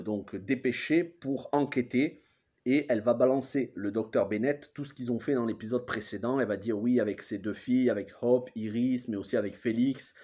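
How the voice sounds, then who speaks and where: A man in his thirties speaks with the congested sound of a cold, his pitch sitting in an ordinary middle range. He recaps a TV episode casually and haltingly, pausing and correcting names as he goes. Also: mellow. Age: 30s. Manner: hesitant, restarts and corrects himself often. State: has a cold. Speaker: TV series reviewer.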